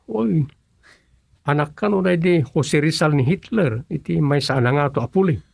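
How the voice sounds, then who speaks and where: An actor playing an earnest, insistent teller of absurd tall tales in a comic Ilocano radio drama, with an Ilocano accent. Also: male voice, fairly high pitch, moderate pace, vocal fry, no room sound. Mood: earnest boasting.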